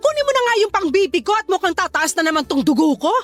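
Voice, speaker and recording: A woman's voice: very high voice, very animated, fast, soft background music. An actress voicing a proud, competitive middle-aged mother who bickers defensively in a radio drama. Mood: bickering.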